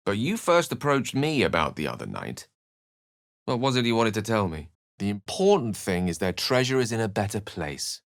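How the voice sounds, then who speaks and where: An actor playing a learned, courteous and wry wizard with a touch of melancholy, speaking in articulate, theatrical phrases for a video game. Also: male voice, on the low side, a bit bright, no echo, clean studio sound. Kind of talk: video game character lines and narration.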